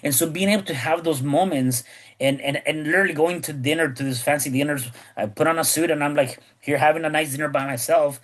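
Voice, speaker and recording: A man's voice: a little high, fast, fairly bright tone. A man hosting a podcast, talking casually and rambling with many repeated words and restarts. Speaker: podcast host.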